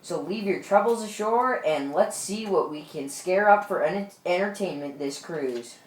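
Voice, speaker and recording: Lady playing friendly ship host guy, lower voice, radio play, echoey room. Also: moderate pace, natural voice. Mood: inviting. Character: Mister Ball, genial male shipboard program host. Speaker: announcer. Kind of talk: amateur radio drama acting.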